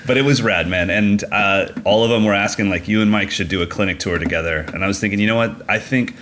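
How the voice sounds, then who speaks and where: Deep voiced guy cohosting a podcast, chatty, acts out other people's lines. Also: fast talker, a little hiss or hum. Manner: animated, acts out quoted voices with jumps in pitch. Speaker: podcast co-host. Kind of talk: casual chat.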